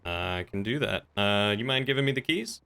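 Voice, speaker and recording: A man streaming a roleplay game, chatting casually and talking in character in a wry, measured way. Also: lowish voice, lively intonation, creaky, bright, forceful tone, clear, resonant tone. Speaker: game streamer playing a crime boss.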